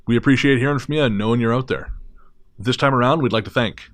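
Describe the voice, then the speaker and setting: A man's voice: medium pitch, fast talker. Man in his thirties hosting a podcast. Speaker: podcast host. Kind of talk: casual podcast chat.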